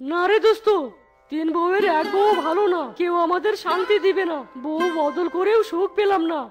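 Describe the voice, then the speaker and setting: Henpecked cartoon animal husband, extremely high voice, dramatic, plaintive, music underneath. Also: female voice, brisk. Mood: lamenting. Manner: dramatic, lamenting. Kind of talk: cartoon story acting.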